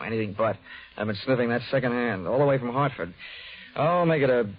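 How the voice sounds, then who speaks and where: An actor playing a wry, hardboiled, flirtatious insurance investigator in 1940s radio noir style. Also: male voice, medium pitch, a little fast, lightly acted. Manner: wry, wisecracking. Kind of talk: 1940s radio acting, first-person noir narration. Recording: dull 1940s radio broadcast with music.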